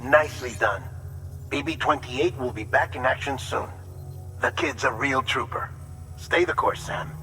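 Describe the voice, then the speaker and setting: An encouraging mission colleague speaks in a bright, forceful, mid-pitched voice. It is approving game dialogue with faint music underneath. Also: male voice, a little slow. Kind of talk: dramatic video game acting.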